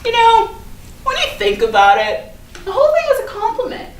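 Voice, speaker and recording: Popular high school girl, played by a woman, breezy and self-excusing, high swooping voice, stage reading in an echoey room. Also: huge pitch swings, moderate pace, heavily acted. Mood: defensive, flustered. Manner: chatty, hedging, casual. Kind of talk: staged reading of a play, naturalistic dialogue. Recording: echoey room from far off, noisy.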